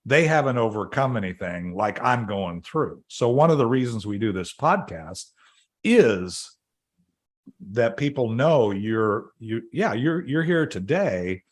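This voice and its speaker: A man's voice: medium pitch, expressive, unhurried. A middle-aged man hosting a podcast interview, talking in a rambling way with many fillers and restarts. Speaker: podcast host. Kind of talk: casual interview chat.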